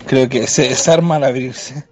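A man's voice: mid-range voice, steady tone, normal speed, a crowd in the background. A man with a Chilean accent chatting casually as a co-host on a show. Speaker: co-host handling sound effects. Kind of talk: casual chat. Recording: dull lo-fi audio, faint music.

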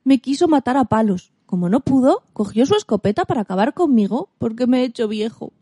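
A woman's voice: a little high, lively intonation, fairly quick, dark tone, slightly rough, no room sound, clean studio sound. A woman playing a miserable, plaintive old hunting dog in amateur radio theatre. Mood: mournful, lamenting.

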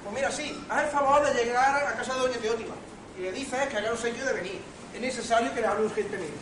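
Scheming farce uncle male actor, emphatic and quick, amateur radio play, noisy echoey room with crowd murmur. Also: very high-pitched, distant mic. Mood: bossy. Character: bossy, scheming two-faced uncle, a gentleman in farce. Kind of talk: amateur radio comedy acting.

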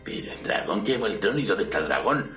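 A man's voice: fairly low pitch, sing-song, fast talker, a bit bright. A man with a Spain Spanish accent playing a boastful, irritable, foul-mouthed fallen conqueror in an amateur parody audio drama, blunt and demanding. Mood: incredulous, impatient. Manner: blunt, demanding, incredulous, swaggering. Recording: roomy, distant mic, music underneath.

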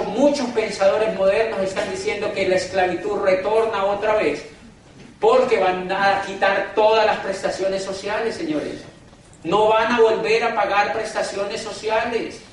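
A man giving a live business seminar, emphatic and preachy, often turning to the crowd directly and slowing down for dramatic emphasis. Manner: emphatic, preachy, keeps addressing the crowd as "gentlemen"; dramatic slowdowns and pitch swings for emphasis. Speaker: business motivational speaker. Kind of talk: live seminar talk to an audience. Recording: echoey hall from far off, faint noise.

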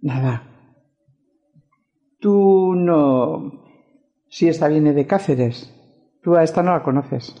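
A woman's voice: low-pitched, slow pace, long pauses, dark tone, dull audio. Actress as firm Spanish woman lawyer, defensive. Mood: casual, weary. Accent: Spain. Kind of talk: amateur radio soap opera acting.

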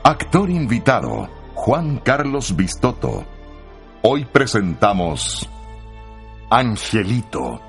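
Gravelly, dramatic Chilean radio announcer man. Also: mid-range voice, big pitch swings, slow pace, long pauses. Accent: Chilean Spanish. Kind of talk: scripted radio drama introduction. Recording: prominent music behind the voice.

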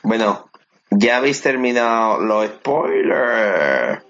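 A man's voice: mid-range voice, big pitch swings, takes it slowly, a bit bright. A man with an accent from Spain chatting casually as he hosts a podcast. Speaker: podcast host. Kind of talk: casual chat. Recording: dull lo-fi, very noisy background.

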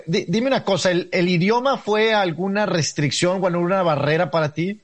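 A man's voice: high voice, normal speed, muffled recording. A man interviewing a chef, asking probing, chatty questions and adding his own reflections.

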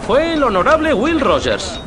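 Folksy teasing man, pitched high, swooping. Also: huge pitch swings, normal speed, crowd noise, exaggerated voice. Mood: jovial, needling. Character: chatty, jovial, patronising man addressing a lad. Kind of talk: dubbed TV drama acting.

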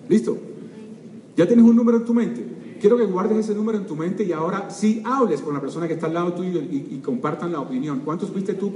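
Older cuban guy firing up a crowd, mellow tone, echoey hall, mic kinda far. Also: high-pitched, slight background noise. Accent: Cuban Spanish. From Cuba. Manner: energetic, keeps quizzing the audience. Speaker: seminar speaker. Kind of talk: motivational seminar talk. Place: large auditorium.

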